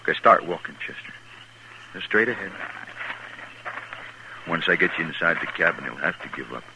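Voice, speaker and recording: A man's voice: deep voice, animated, normal speed, creaky. A man playing a nervous, scheming American gambler with an edgy, urgent manner in a western radio drama. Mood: threatening. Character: shifty, nervous frontier gambler turned informer and schemer. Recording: noisy vintage radio recording.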